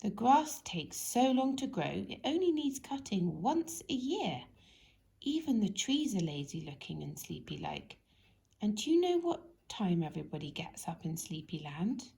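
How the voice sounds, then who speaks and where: Creaky-voiced woman reading a bedtime story. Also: medium pitch, sing-song, normal speed, mellow. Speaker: storybook reader. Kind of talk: expressive children's bedtime story narration.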